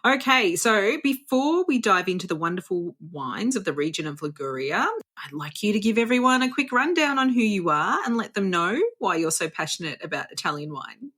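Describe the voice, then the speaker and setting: A woman's voice: medium pitch, sing-song, brisk, a bit bright. A woman hosting a travel podcast, upbeat and chatty, sprinkling in Italian greetings. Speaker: podcast host. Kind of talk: casual interview chat.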